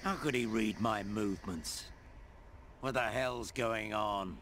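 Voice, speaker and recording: A man with a British accent playing a gruff, mocking older mentor turned villain in a video game cutscene. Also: on the low side, slow pace, background noise. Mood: cocky, menacing. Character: sneering, battle-hardened older male mentor turned villain. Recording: loud music under the dialogue.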